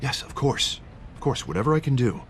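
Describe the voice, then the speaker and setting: A man acting a harried American district attorney in a video game, earnest in manner. His medium-pitched voice swings up and down, over faint traffic noise. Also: expressive, fairly quick, natural voice. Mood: indignant, apologetic. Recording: faint ambient vehicle noise behind.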